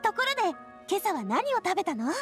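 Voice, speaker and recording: A woman's voice: extremely high pitch, big pitch swings, fairly quick. A woman voicing a bold, breezy young female monster hunter in an anime game. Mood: cheery. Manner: breezy, upbeat. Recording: music behind the voice.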